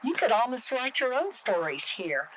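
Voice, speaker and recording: Animated woman hosting a storytelling show. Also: on the high side, normal speed, low-quality recording. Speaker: show host. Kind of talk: scripted introduction for a storytelling show.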